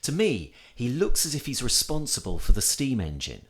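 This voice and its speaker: A man reading a reflective personal essay aloud in a measured, thoughtful manner as scripted narration. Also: medium pitch, huge pitch swings, breathy edge.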